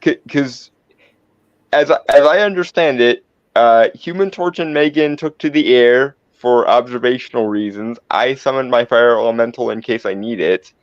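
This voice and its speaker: A man's voice: medium pitch, moderate pace, no echo. A man playing a tabletop roleplay game, discussing moves casually with precise, wordy phrasing. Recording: dry, dull lo-fi close audio.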